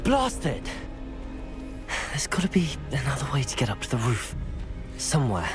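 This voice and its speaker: A woman's voice: extremely low pitch, sing-song, normal speed. A woman voicing an earnest, plucky young student spellcaster in a video game. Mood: frustrated. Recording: loud game music behind.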